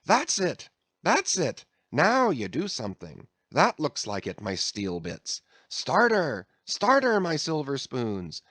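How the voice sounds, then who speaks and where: A man's voice: mid-range voice, wildly swooping pitch, slow, fairly bright tone, no echo, clean studio sound, voice pushed up, full character voice. A man voicing an easygoing whaling mate who drawls, coaxes and jokingly scolds his rowing crew. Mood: coaxing. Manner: drawling, soothing cajoling mixed with mock scolding. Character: easygoing male whaling mate, coaxing and jocular.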